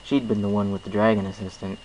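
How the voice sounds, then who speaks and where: A man's voice: lowish voice, little pitch movement, fast talker, darkish tone, a little hiss or hum, poor audio. A man reading a first-person story aloud as its narrator. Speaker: amateur story reader.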